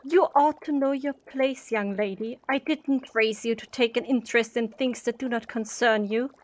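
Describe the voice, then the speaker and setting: Woman playing a stern, higher-voiced mistress of the house. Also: moderate pace, lightly acted. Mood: scolding. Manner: commanding, formal, clipped. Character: stern, haughty, protective mother of a period household. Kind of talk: period audio drama acting.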